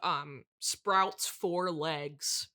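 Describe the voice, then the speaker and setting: Comedy podcast: haughty, eccentric female horror author played by an actress, very sharp bright tone, touchy deadpan delivery. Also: low voice, extremely slow, exaggerated voice. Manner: pompous, prickly, exasperated deadpan. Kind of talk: improvised mock interview.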